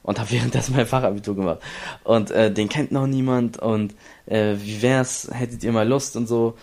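A man's voice: medium pitch, fairly even intonation, moderate pace, vocal fry, darkish tone. A young man from Berlin, an esports player, tells his story in a casual interview, rambling with many restarts and acting out what others said.

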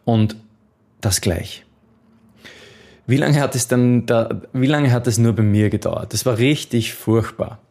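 A man's voice: medium pitch, unhurried, creaky, pauses often, warm tone. A man coaching on memory techniques, answering a viewer's question in an earnest, encouraging way with occasional restarts.

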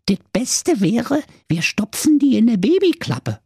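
Comic storybook mother, Berlin accent: very high, shrill, heartless and bossy, performed by a man. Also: big pitch swings, a little slow, vocal fry, mellow, slightly rough, dry recording, studio quality, heavily acted. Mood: scheming, gleeful. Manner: bossy, exclamatory, coarse. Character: shrill, scheming, heartless mother of two teenagers.

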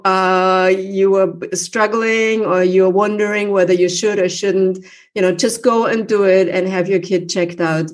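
A woman's voice: lowish voice, a little mellow. A woman hosting an interview, earnestly advising listeners, with frequent fillers. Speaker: podcast host.

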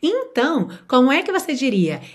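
Lively woman teaching English. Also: sits high. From Brazil. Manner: explanatory, checks in with frequent "ok?" and "certo?"; slows down sharply to model English phrases. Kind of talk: online English lesson.

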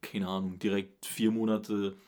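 A man in his twenties with a fairly low voice talks casually. He is slangy and often restarts his sentences. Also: level tone, a little slow, darkish tone. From Berlin, Germany. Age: 20s. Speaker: guest. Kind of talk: casual chat.

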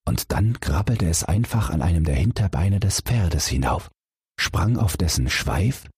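A man calmly reading a fairy tale aloud as a bedtime audiobook narrator. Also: a bass voice, breathy edge, dry recording, clean studio sound. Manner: calm, expressive storytelling.

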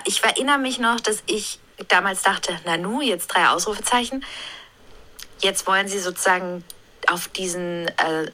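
A woman in her thirties, a voice actress, reflecting thoughtfully on her long-running role in a recorded interview, pausing often to find her words. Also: medium pitch, sharp tone. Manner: thoughtful, musing, with frequent hesitations.

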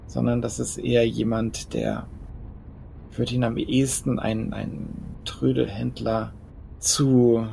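A man playing a thoughtful, scholarly vampire in an audio drama, speaking in halting, hesitant, formally worded phrases. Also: mid-range voice, vocal fry, warm tone, slight background noise. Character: thoughtful, scholarly male vampire sharing half-remembered knowledge. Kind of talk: audio drama role-play dialogue.

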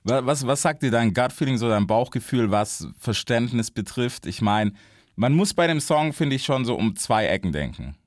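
A man's voice: medium pitch, lively intonation, normal speed, no echo, clean studio sound. A young man hosting a podcast interview, talking in a loose, laid-back way and dropping English slang words into his chat. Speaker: podcast host.

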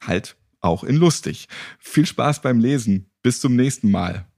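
A man's voice: mid-range voice, expressive, a little slow, creaky, dark tone. A man hosting a casual interview podcast with a jokey, irreverent way of talking. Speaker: podcast host. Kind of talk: casual joking interview and sign-off.

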